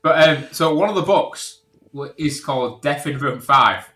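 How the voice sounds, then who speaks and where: A man's voice: a little high, moderate pace, sharp tone. A man chatting informally as a podcast guest, telling stories in a sweary, casual way. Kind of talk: casual anecdote-telling chat.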